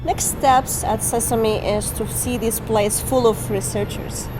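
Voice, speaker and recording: A woman's voice: on the high side, steady tone, measured pace, rough recording. A woman scientist explaining her facility's research plainly in a documentary interview. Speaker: synchrotron scientist. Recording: music bed under the voice.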